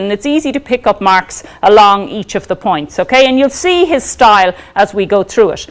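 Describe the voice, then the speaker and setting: A woman with an Irish accent giving a law lecture to a class, talking loudly and informally, often checking in with "okay?". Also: medium pitch. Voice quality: loud, carrying voice. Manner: chatty, checks in with frequent "okay?". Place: lecture room.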